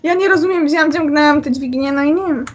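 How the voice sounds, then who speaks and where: A woman's voice: high-pitched, fast, slight background noise. A woman chatting while playing a game with a friend, giggling often and playfully complaining. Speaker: co-player. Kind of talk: casual let's-play chat.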